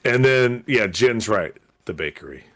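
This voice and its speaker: A man's voice: on the low side, expressive, measured pace, fairly bright tone. A man co-hosting a casual podcast, rambling with lots of fillers and restarts. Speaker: podcast co-host. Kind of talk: casual chat. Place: Los Angeles area.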